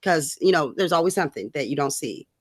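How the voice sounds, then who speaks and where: A woman's voice: deep voice, lively intonation, quick pace, slightly rough, no echo, clean studio sound. An American woman co-hosting a casual political chat, blunt, slangy and free with swearing. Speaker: podcast co-host.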